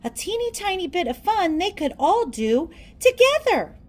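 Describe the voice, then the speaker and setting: A woman reading a children's storybook aloud in a theatrical, deliberate storytelling manner. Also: extremely high pitch, big pitch swings. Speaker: storybook reader. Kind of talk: children's read-aloud narration.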